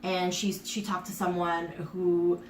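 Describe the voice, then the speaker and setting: A woman's voice: on the low side, little pitch movement, a little slow, a little mellow. A woman talking in casual conversation as a co-host, telling a story with many likes and fillers, recorded from a distant mic. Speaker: podcast co-host. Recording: roomy, distant mic, faint noise.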